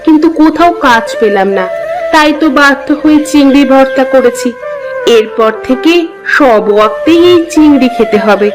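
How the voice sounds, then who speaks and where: A woman's voice: high-pitched, expressive, normal speed, music in the background, poor audio. A woman voicing a scheming, coaxing young village wife in a theatrical cartoon moral tale. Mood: plaintive, coaxing.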